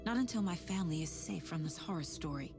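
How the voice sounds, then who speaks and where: A woman's voice: fairly low pitch, animated, fast talker. An actress voicing a determined female FBI agent in a video game, speaking tensely and with urgent resolve. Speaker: game character. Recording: game audio under music.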